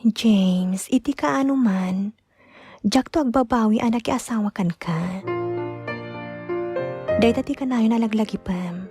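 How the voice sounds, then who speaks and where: A woman's voice: a little high, unhurried, long pauses, mellow, breathy edge, soft background music. A woman playing a meek, loving young wife in a radio drama, hesitant and devoted, with an Ilocano accent. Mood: tender, devoted.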